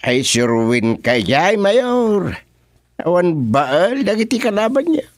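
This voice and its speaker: A man's voice: high-pitched, sing-song, takes it slowly, dry recording, studio quality, exaggerated voice. A man in an Ilocano radio comedy drama playing a nervous, deferential village chairman who hesitates and stammers. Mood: nervous, evasive.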